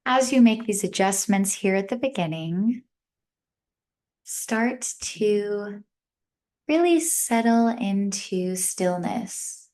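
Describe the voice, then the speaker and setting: A woman leading a guided mindfulness meditation as an instructor, speaking gently in short, measured phrases with long pauses between them. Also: mid-range voice, animated.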